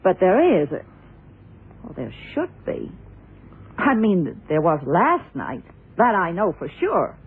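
An actress playing a defensive, plain-spoken theatre dresser in an old radio drama, colloquial and emphatic. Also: female voice, lowish voice, huge pitch swings, takes it slowly, long pauses, slightly rough, heavily acted. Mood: defensive, flustered. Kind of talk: 1960s-70s radio drama acting. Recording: tinny old radio recording.

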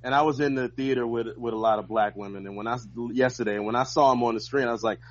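A man's voice: mid-range voice, steady tone, quick pace. American man chatting, slightly dull lo-fi audio. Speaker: comedian, podcast guest. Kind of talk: casual conversational chat. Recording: dull, lo-fi remote-sounding audio.

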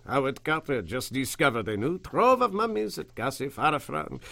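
An actor in a radio drama playing a haughty, fawning museum official who hems and haws in an oily manner. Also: male voice, fairly high pitch, vocal fry, sharp tone, full character voice. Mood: fussy, reluctant. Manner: oily, hemming and hawing. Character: fawning, haughty male museum official, quick to flatter wealth.

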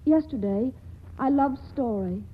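An earnest, pleading young woman; high, breathy, 1940s radio, tinny broadcast sound. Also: expressive, a little slow, slight background noise. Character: earnest young woman torn in love, Monica. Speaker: actress.

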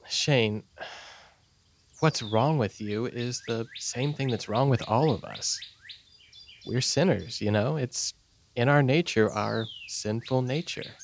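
Guy playing earnest camp church mentor, creaky voice, gentle but preachy, coaxing, audio drama. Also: mid-range voice, slight background noise. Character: earnest, reassuring male religious mentor at camp. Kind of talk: naturalistic audio drama acting.

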